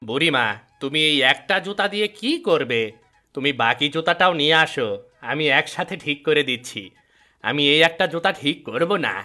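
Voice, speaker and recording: A woman's voice: deep voice, expressive, moderate pace, pushed and bright. Woman doing a smug, swooping village cobbler man. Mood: dismissive. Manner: mocking, chuckling, swooping delivery. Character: smug, sneering male village cobbler, a crafty rival. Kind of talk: children's fairy-tale cartoon acting.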